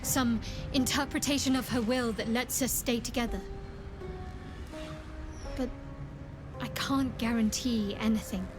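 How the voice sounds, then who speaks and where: An actress playing a devout young female warrior of darkness in a video game, earnest, deliberate and intense. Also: a little high, fairly even intonation, music in the background, natural voice. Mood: torn, regretful. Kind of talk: dramatic video game acting.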